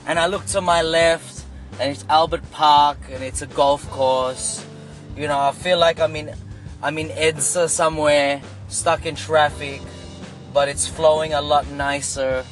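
Young filipino-accented guy rambling in his car, kinda high voice, faint music on. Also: steady tone, a little slow. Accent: Filipino English. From Australia. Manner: rambling, chatty, lots of "you know". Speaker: rideshare driver vlogger. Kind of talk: casual storytelling vlog. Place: in a car, stuck in traffic.

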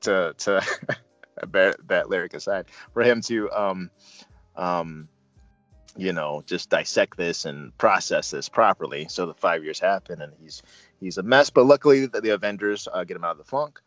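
A man talks through a casual film review as host, halting and rambling, with bursts of emphasis. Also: deep voice, fairly bright tone, no echo, dull audio, faint music behind. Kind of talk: casual movie review discussion.

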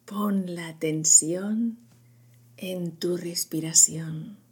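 Very breathy, slow woman guiding meditation. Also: lowish voice. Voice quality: breathy, whispery. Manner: slow, hushed delivery in short phrases with long pauses. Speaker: meditation guide. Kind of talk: guided meditation, soft and soothing.

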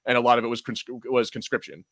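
A man's voice: medium pitch, level tone, fast talker, fairly bright tone, dry recording. A man hosting a podcast in casual fan chat, talking in a rambling, enthusiastic way. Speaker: podcast host.

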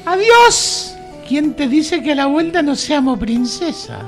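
A woman's voice: high voice, lively intonation, measured pace, faint music behind. A woman playing a mean, snobbish young stepsister in comic radio theatre, mocking and affected, with an Argentine accent.

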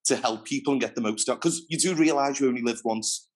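A man's voice: mid-range voice, fast talker. Man, interview guest, talkative and rambling, mid-range voice, natural pace. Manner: chatty, rambling, restarts often. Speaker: interview guest, fitness trainer. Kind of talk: casual interview chat.

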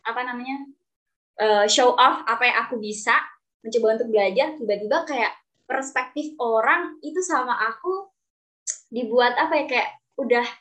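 A woman's voice: on the high side, normal speed, long pauses, fairly bright tone. A young adult woman hosting a casual group chat, speaking expressively and often searching for words. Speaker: discussion host.

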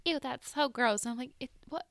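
A woman's voice: high-pitched, a little fast, gravelly, no echo, studio quality. A young woman co-hosting a podcast, chatting animatedly with lots of "like" and acted-out quotes. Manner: animated, filler-heavy, acts out quotes. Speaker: podcast co-host. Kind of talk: casual chat.

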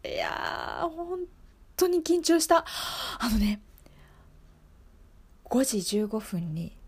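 A woman acting a flustered, high-voiced young woman. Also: sing-song, slow, exaggerated voice. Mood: tense reminiscing. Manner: breathy sighs, urgent repetition, confiding. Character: flustered woman anxiously recalling a graduation exam. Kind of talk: improvised radio drama monologue.

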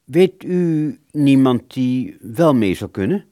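A man's voice: mid-range voice, very animated, slow pace, dark tone, dry recording, clean studio sound. An actor in a radio play playing a weary, grumbling male musician with an emphatic delivery. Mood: reproachful asking. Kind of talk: Dutch radio play acting.

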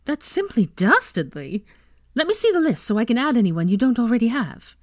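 A fiery teenage witch with a high, animated voice, put on by a woman narrator. She is a bold, eager schemer out of a storybook, stressing her words with relish. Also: brisk, no room sound, clean studio sound. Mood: gleeful.

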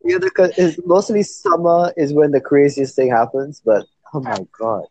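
A husky-voiced man with a Caribbean accent chatting casually, telling things in an animated way. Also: on the high side, normal speed, a little hiss or hum, rough recording. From Trinidad. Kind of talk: casual chat.

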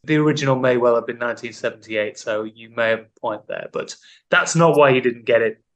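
A man's voice: mid-range voice, brisk, fairly bright tone. A man hosting a comedy podcast quiz, teasing his co-host in casual banter. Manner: playful, teasing quizmaster.

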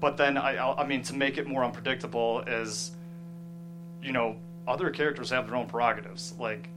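A man being interviewed as a screenwriter, talking casually and thoughtfully, often pausing to search for words. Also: mid-range voice, fairly even intonation, music in the background, low-quality recording.

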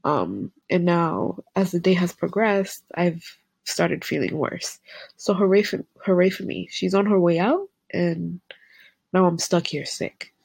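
A woman's voice: on the low side, a little slow, creaky, a little mellow, breathy edge, no room sound. A young woman with a Caribbean accent co-hosting a casual podcast, congested from a head cold and talking in a candid, laid-back way. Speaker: podcast co-host. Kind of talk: casual chat.

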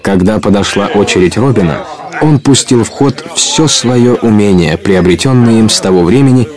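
A man narrating a scripted storybook audio play in an expressive, literary storytelling manner. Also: fairly low pitch, moderate pace.

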